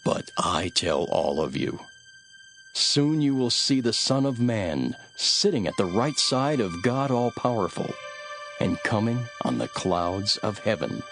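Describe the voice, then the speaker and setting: An actor playing a calm, solemn, authoritative holy teacher in a scripture audio drama, speaking in measured phrases. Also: male voice, fairly low pitch, faint music behind, exaggerated voice.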